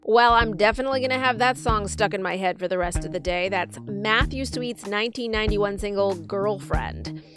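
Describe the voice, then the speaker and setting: Female podcast host, medium pitch, reading scripted links with music behind her. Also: normal speed.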